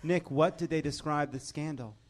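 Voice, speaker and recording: A man's voice: on the high side, normal speed, noisy background. A man hosting a comedy game show in front of a live audience, sarcastic and often laughing as he talks. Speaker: host.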